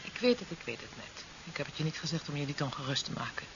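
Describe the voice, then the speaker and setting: Devoted, stubborn, very expressive wife. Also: female voice, sits low, fast talker. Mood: reassuring. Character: devoted, stubborn wife, evasive about her frailty. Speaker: radio drama actor. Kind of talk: 1970s Dutch radio drama acting. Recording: dull, hissy 1970s radio recording.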